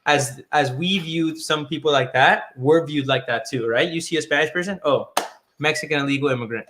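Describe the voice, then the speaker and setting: A man's voice: a little high, a little fast, noisy background. A man from Colombia talking loosely in a casual discussion, rambling with lots of "like" and restarts. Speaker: guest.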